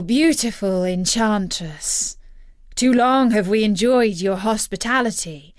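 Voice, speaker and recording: A woman's voice: medium pitch, darkish tone, no echo, studio quality, natural voice. A woman voicing a noble, earnest male Greek hero in a storybook, speaking in a formal, declamatory way. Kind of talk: storybook character voice.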